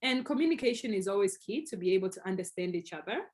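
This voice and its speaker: A young woman from Tanzania whose first language is Swahili talks as an interview guest. Her voice sits in a middle range. She explains at length in a conversational, animated way, with frequent fillers and restarts. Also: mid-range voice, fast talker.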